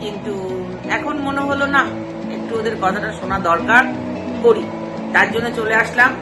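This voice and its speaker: A woman's voice: on the high side, normal speed, over loud music. A middle-aged woman, chatting directly to viewers as a casual vlogger introducing a channel.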